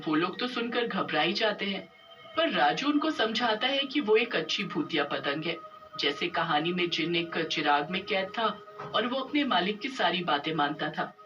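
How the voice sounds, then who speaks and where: A woman reading scripted narration for an animated story. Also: mid-range voice, far from the mic, highs cut off. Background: music.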